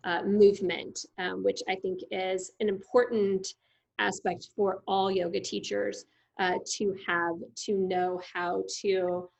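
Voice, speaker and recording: A middle-aged woman interviewing a guest in a relaxed, chatty way, affirming often and leaning on fillers. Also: mid-range voice, slow pace, vocal fry, low-quality recording. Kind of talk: conversational interview.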